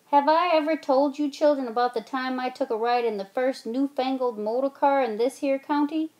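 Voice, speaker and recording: A woman's voice: high voice, normal speed, a little mellow, pitched above the performer's own voice, close to an everyday voice. A woman reading aloud voices a mischievous old aunt who crows her story in a folksy drawl. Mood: crowing.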